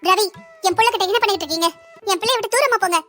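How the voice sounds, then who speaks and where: A very high, bright voice of a woman playing a defiant young cartoon bride. She speaks rapidly and confrontationally, full of resentment. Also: faint music behind. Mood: resentful, angry. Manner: sharp, confrontational. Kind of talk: Tamil cartoon drama dialogue.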